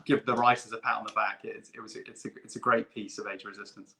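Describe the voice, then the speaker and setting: A man's voice: fairly low pitch, little pitch movement, quick pace, background noise. A man chatting as a guest on a video call, enthusiastic and full of restarts. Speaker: guest puppeteer. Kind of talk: casual video call chat.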